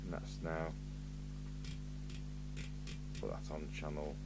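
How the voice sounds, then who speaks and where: A man's voice: extremely low pitch, normal speed, creaky. A man from the UK thinking aloud in a matter-of-fact way as he gives a hands-on workbench demonstration. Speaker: radio repair vlogger. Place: indoor radio repair bench. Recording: noisy, with steady hum.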